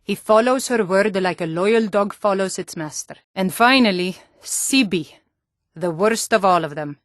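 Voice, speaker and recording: An actress playing stern, earnest Nord warrior women and town guards in a fantasy video game, speaking in a firm, measured way. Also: female voice, medium pitch, no echo, clean studio sound, lightly acted. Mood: earnest, disapproving. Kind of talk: video game character dialogue.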